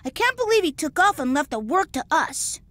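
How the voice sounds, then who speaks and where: A woman's voice: high voice, very animated, brisk, fairly bright tone, dry recording. A woman voicing a spunky, outspoken young boy in a video game, blunt and grumbling. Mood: indignant. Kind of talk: video game cutscene voice acting.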